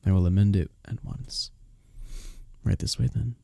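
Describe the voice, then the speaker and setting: A man playing a courteous, smitten young village innkeeper in an intimate romantic fantasy roleplay, speaking softly and breathily with formal, bashful phrasing. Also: fairly low pitch, fairly even intonation, normal speed, creaky, no room sound. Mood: gently attentive.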